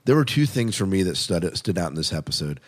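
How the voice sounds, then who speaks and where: Low-voiced man hosting a podcast. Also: very animated, fast talker. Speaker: podcast host. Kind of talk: casual chat reviewing TV shows.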